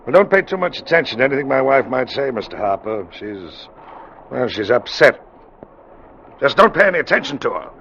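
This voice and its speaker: An actor playing a ship's captain in a radio drama, formal and controlling, with pointed, menacing courtesy. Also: male voice, medium pitch, sing-song, a little fast, fairly bright tone. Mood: coolly menacing. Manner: clipped courtesy, pointed repetitions. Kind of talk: old-time radio drama acting. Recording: muffled, noisy vintage radio recording.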